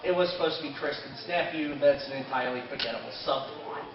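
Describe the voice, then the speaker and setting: A man's voice: mid-range voice, quick pace, crowd noise. A man presenting an informal convention panel about an anime, telling story events to an audience as if he took part. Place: convention panel room, indoors. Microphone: distant camera microphone. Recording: roomy, dull, distant camera with audience.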